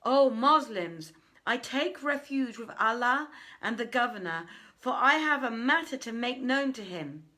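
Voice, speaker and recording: A woman's voice: on the high side, animated, measured pace, bright, forceful tone, voice pushed up. A woman voicing a brazen swindler who pleads and declaims in a storybook reading. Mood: loud complaint. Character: brazen swindler posing as a blind man, complaining loudly.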